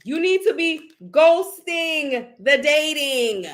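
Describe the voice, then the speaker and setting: A woman's voice: high-pitched, expressive, slow pace, a bit bright. A woman giving a casual psychic tarot reading, brash and profane, with a sassy, emphatic way of talking. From Haitian family. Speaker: psychic reader.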